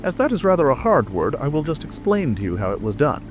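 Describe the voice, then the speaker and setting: A man's voice: on the high side, sing-song, quick pace. A man reading a scripted storybook narration as a dramatic audiobook narrator. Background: music.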